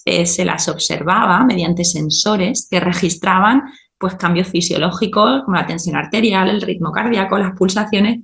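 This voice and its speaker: A woman's voice: mid-range voice, vocal fry, reverberant. A woman hosting a podcast, explaining ideas step by step and often checking in with her listener. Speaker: podcast host and teacher.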